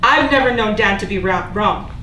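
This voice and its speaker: A woman playing a bitter, sharp-tongued older sister in a staged play reading, sullen and exasperated. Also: medium pitch, expressive, moderate pace. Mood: sullen, scornful. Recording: distant mic, echoey room, faint noise.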